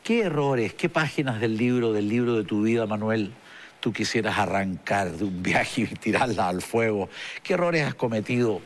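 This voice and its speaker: A man's voice: lowish voice, normal speed. A man hosting a television talk show, asking probing questions of his guest. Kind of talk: TV interview hosting.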